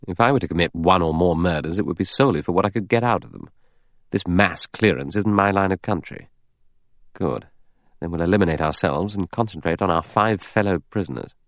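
A man's voice: low-pitched, a little fast, no echo, studio quality. A man playing a cool, cynical, self-interested adventurer in an audiobook, nonchalant and wry. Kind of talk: audiobook character dialogue.

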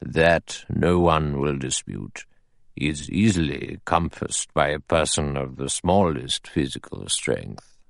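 Man playing a slow, sour old judge, very low. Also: expressive, no room sound, full character voice. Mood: cold, probing. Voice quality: small, thin, clear voice. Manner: slow, deliberate, dry and sour. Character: elderly male judge, cold, measured, acid-tongued authority.

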